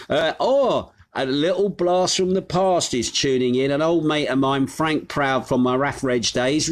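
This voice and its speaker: A middle-aged man from the United Kingdom hosting a live stream from his home, chatting casually and reading out viewers' names with plenty of fillers. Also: a little high. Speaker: host of a live news stream. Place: his home on a Greek island.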